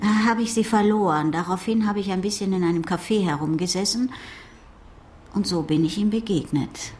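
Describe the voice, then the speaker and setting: An actress playing a curious, impulsive oceanographer in a radio crime drama, musing and self-assured in conversation. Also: female voice, mid-range voice, moderate pace, a little mellow, slight background noise, close to an everyday voice. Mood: frank, musing.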